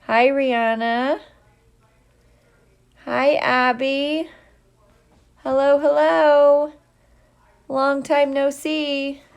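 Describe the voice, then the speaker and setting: Slow, chatty, playful woman teacher on livestream. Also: high-pitched, flat delivery, highs cut off. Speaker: teacher hosting a livestream for students. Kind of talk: casual livestream chat, greeting viewers by name.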